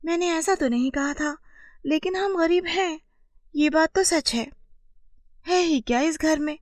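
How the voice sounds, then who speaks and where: A woman's voice: sits high, unhurried, no room sound, clean studio sound, voice pushed up. A woman voicing a proud, impatient young village woman who longs for riches, with a complaining, self-important manner, in a storybook tale. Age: young adult.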